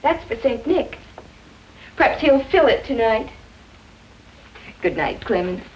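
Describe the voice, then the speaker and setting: An actress playing a practical, affectionate wife and mother in a 1950s television drama, with a teasing, gently scolding manner. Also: fairly high pitch, lively intonation, slow. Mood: tender. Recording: noisy vintage 1950s TV soundtrack.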